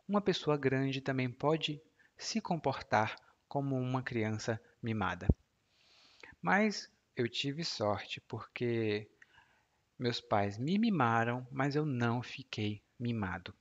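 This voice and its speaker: A man from Ceará in his thirties with a Brazilian Portuguese accent, a teacher patiently explaining vocabulary in a lesson with soft asides. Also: medium pitch, muffled recording.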